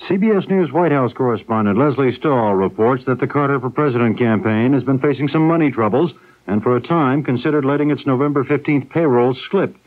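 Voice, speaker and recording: A man's voice: medium pitch, a little fast, narrow, tinny audio. American male radio newsreader, thin broadcast sound. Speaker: radio news anchor. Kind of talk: scripted news reading.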